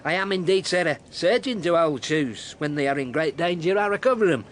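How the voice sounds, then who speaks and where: A man's voice: fairly high pitch, expressive, fairly quick, a bit bright, slight background noise. A man playing a cheeky, punning working cobbler in a Shakespeare radio drama, mock-deferential to his betters. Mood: cheeky, jovial.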